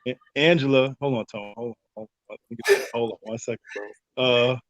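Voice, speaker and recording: A man being interviewed as a radio drama cast member, talking casually in a halting, reflective, informal way over a call. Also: mid-range voice, dry recording, low-quality recording.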